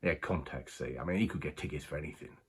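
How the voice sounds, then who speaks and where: A man performing a working-class betting man in a dramatic monologue. His voice is low and husky, and he talks in a halting, confiding way, full of colloquial turns and pauses, with a rueful air. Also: full character voice. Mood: wistful. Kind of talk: dramatic monologue, conversational storytelling.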